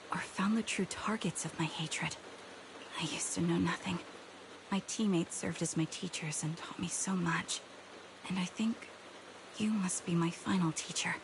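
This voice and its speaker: A woman playing a grave, gentle young female sniper in a game, speaking softly and with measured wistfulness. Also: on the low side, steady tone, noisy background. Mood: sorrowful, resolute. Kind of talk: dramatic game voice acting. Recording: music behind the voice. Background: game music.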